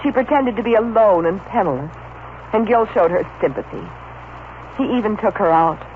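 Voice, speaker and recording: A woman's voice: medium pitch, expressive, moderate pace, phone-quality sound, lightly acted. A woman playing an earnest, worried heroine in an old-time radio soap opera. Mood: anxious, determined. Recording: thin, crackly old radio recording.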